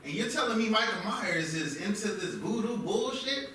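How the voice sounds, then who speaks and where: A sceptical, foul-mouthed police commander in a horror drama, played by a man. He barks blunt questions in a high, forceful male voice, distant and heavily echoed. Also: animated, normal speed, a little hiss or hum. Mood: sceptical, demanding. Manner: blunt, demanding. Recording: echoey room, distant mic.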